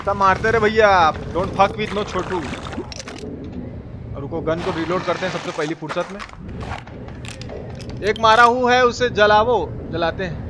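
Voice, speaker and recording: A man giving excitable, repetitive, slangy live game commentary as a streamer. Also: high voice, measured pace, pauses often. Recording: clear background noise.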